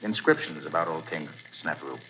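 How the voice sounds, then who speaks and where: An actor playing a calm, assured male detective who speaks in a measured, authoritative way in a 1940s radio drama. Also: rumbling low. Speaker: private detective. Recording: dull 1940s radio recording, faint hiss.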